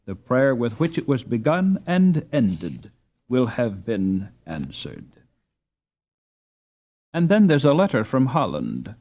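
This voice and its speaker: Expressive man narrating a storybook. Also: medium pitch. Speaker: audiobook narrator.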